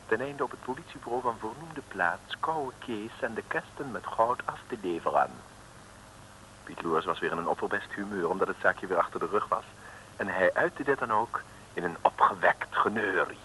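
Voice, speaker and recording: Male narrator for vintage radio comedy: low, bright-edged, wry and mock-formal. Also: expressive, normal speed, poor audio. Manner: tongue-in-cheek, mock-formal. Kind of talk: scripted narration of a comic radio serial. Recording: vintage radio recording, faint noise.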